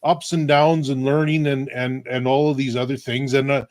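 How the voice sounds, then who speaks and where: A man's voice: medium pitch, level tone, moderate pace, a little mellow, dry recording, clean studio sound. A middle-aged man from Canada hosting a casual group video call, rambling and restarting his sentences. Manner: rambling, repeats words and restarts. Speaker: host.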